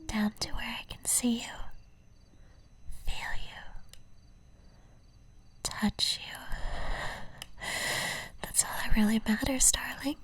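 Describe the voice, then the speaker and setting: Obsessive yandere woman stalker, voiced by an actress, breathy whisper, unhurried, cooing and possessive, for ASMR roleplay. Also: on the high side, fairly even intonation, heavily acted. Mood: smug, adoring. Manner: slow, intimate, cooing endearments.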